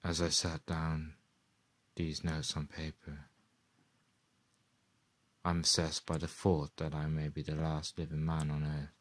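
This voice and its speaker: A man playing a lonely, haunted survivor in a radio play, narrating his notes in a quiet, brooding, literary way. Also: extremely low pitch, completely flat delivery, measured pace, pauses often, no room sound. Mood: bleak brooding.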